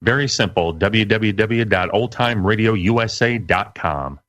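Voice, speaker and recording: A man with a fairly low voice speaks like a radio announcer. He is a broadcaster appearing as a guest. The recording is very dry, with no room sound. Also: unhurried, a bit bright, no room sound. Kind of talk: announcer-like delivery.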